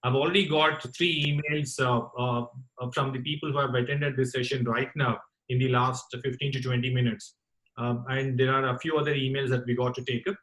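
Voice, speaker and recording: A man hosting an online call-in talk session, speaking in a courteous, formal and halting way. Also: mid-range voice, steady tone, fairly bright tone, poor audio. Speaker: host of a campus radio talk session. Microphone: online group call.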